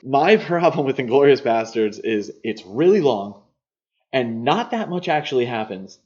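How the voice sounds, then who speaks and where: A man's voice: mid-range voice, big pitch swings, normal speed, darkish tone. A man co-hosting a podcast, talking casually and giving his opinions on films in a conversational way. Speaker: podcast co-host. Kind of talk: casual film discussion. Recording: slightly dull lo-fi podcast audio.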